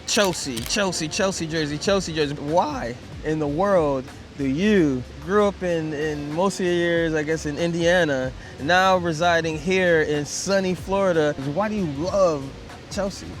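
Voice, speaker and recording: A man hosting an interview show, energetic and upbeat, swinging between loud hype and low, relaxed asides. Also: unhurried, faint music behind. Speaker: show host.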